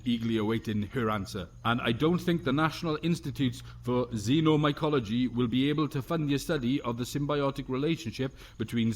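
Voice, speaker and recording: A man's voice: mid-range voice, a little hiss or hum, natural voice. A man playing a bumbling, jokey officer in a sci-fi comedy audio drama. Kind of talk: audio drama comedy acting, partly reading aloud.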